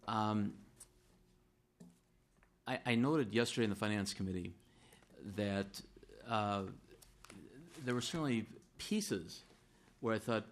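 A middle-aged man with a hoarse, roughened voice and a fairly low pitch speaks in a legislative chamber. He talks deliberately and builds on a colleague's point, with a faint room hum behind him. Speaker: state senator. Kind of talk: legislative floor debate.

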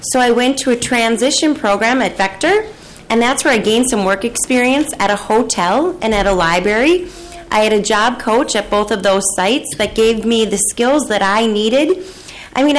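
A woman with a somewhat high voice testifies before a committee. She tells her account at an even, measured pace in a hearing room. A faint hiss runs under her voice. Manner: steady, measured first-person account. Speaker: committee witness.

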